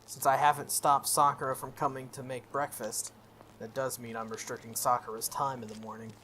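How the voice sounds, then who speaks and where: A video game player reading a visual novel aloud, with expressive, casually sweary commentary. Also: mid-range voice, fairly quick, slight background noise.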